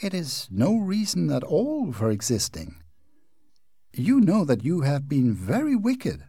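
A man's voice: a little high, wildly swooping pitch, a little slow, warm tone, breathy edge, dry recording, clean studio sound, pitched above the performer's own voice, full character voice. A man voicing a prim, indignant young American girl who scolds sharply. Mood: reproachful.

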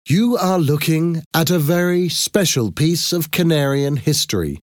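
A man's voice: fairly high pitch, lively intonation, measured pace, no echo, clean studio sound, voice pushed up. A man voicing a proud, pleased male archaeologist in a story, presenting formally and emphatically.

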